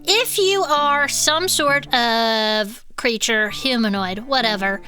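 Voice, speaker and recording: Bright, high-voiced excitable cryptid fan. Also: female voice, takes it slowly, soft background music. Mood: earnest explaining. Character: excitable, cheeky cryptid enthusiast co-hosting a club show. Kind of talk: comedic audio fiction banter.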